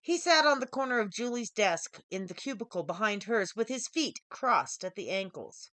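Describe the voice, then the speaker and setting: A woman's voice: mid-range voice, big pitch swings, normal speed, fairly bright tone, no room sound. A woman author reading her own short story as scripted audiobook narration.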